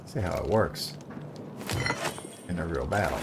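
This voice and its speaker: A man with a very low voice and a noticeable creak talks casually through a video game in a low-key, musing way. Loud game ambience runs behind him. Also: expressive, measured pace, heavy background noise. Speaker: gamer narrating a playthrough. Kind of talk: casual gameplay commentary.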